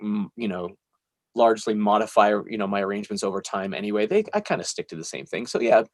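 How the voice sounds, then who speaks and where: A man's voice: on the low side, expressive, fast, no room sound, clean studio sound. A man being interviewed as a musician, chatting casually in a rambling, self-deprecating way.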